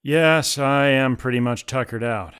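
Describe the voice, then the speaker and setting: A man's voice: medium pitch, a little slow, a bit bright, smooth, no room sound, clean studio sound, lightly acted. A man playing an easygoing mercenary hunter in an audio drama, speaking in a polite, genial way. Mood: politely genial. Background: prominent music.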